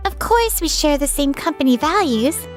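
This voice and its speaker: Casting: woman as polite young manga businesswoman, extremely high voice, earnest and frank, music underneath. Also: expressive, normal speed. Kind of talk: manga dub voice acting.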